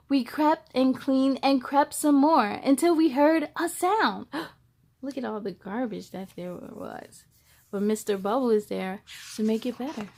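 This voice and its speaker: A woman hosting a children's story time, reading aloud in an expressive, animated way with playful asides. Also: sits high.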